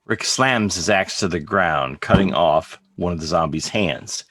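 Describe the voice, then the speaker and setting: Casting: male narrator for script directions, lowish pitch, close dry recording. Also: measured pace, a bit bright, husky, no room sound. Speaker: narrator reading stage directions. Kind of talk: scripted narration in present tense. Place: remote video call table read, dry sound.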